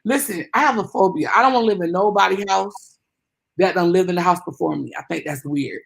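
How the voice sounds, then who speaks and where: A woman in her twenties chatting casually as an interview guest, animated with loud bursts of excitement. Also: lowish voice, brisk, vocal fry, husky. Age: 20s.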